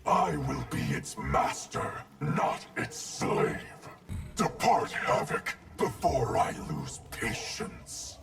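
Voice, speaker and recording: An actor playing a grim, commanding supernatural warrior in a video game, with a harsh gravelly voice and solemn, forceful delivery. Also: male voice, medium pitch, huge pitch swings, takes it slowly, loud music behind the voice, heavily acted. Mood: threatening. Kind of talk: video game fighter dialogue.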